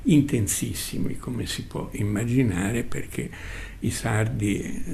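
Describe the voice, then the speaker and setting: An older man reminiscing as a radio host, speaking in a halting, reflective way with repetitions and long hesitations. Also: medium pitch, gravelly, mellow, a little hiss or hum. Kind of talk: spoken reminiscence, literary commentary.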